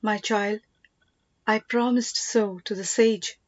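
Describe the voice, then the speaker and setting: A woman voicing a gentle, devout queen mother in a storybook, measured and solemn. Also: mid-range voice, fairly even intonation, dull audio, lightly acted. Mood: solemn pleading.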